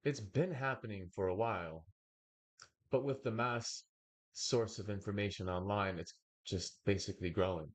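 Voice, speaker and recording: A young man with a fairly low voice talks through a reaction video at an unhurried pace. He grew up with Bulgarian as his first language, and his remarks come out in long, hesitant, wordy stretches broken by pauses. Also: very animated, breathy edge. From United States. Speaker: reaction streamer. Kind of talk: casual commentary.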